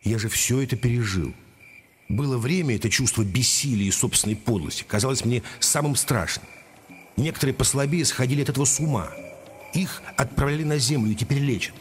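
A man playing a weary, wise older judge in a radio drama, speaking in a measured, paternal, admonishing way. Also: medium pitch, a little hiss or hum, lightly acted. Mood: sorrowful, earnest. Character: weary, wise older male judge, secretly an Earth historian.

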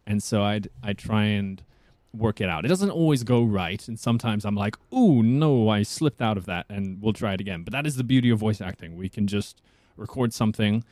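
A man from South Africa, an actor chatting casually on a podcast with a husky, soothing voice and a thoughtful manner. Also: fairly low pitch, expressive, fairly quick, a little mellow, dry recording.

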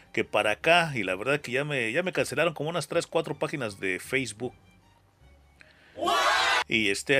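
A man's voice: mid-range voice, sharp tone. A Mexican man hosting a casual interview, talking in a slangy, rambling way and often repeating his phrases. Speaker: interview show host.

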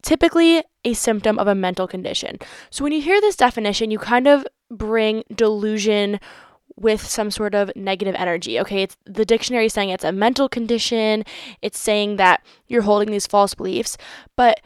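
A woman's voice: medium pitch, fairly quick, clean tone, no echo, clean studio sound. A young woman hosting her own podcast in casual chat, playful and chatty, swearing freely. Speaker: podcast host.

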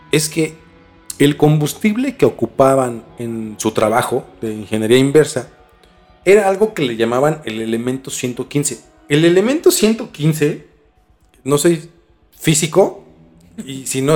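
A Mexican man hosting a podcast, telling things in an informal, halting way with some mild swearing. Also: medium pitch. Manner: informal, halting, mildly profane. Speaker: podcast host. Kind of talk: casual storytelling discussion.

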